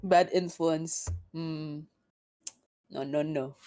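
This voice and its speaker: A woman's voice: low voice, steady tone, very slow, mellow. A woman streaming casually, teasing her guest and chatting with her viewers in a laid-back way.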